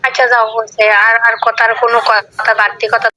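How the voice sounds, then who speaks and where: A woman with an eastern Bengali accent speaks quickly in a bright, tense, fairly high voice. She complains and brushes things off, repeating herself as in a household quarrel. It is recorded from a phone call. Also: level tone, no room sound. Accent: eastern Bengali dialect. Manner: complaining, dismissive, repeats phrases. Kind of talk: casual domestic argument on a call.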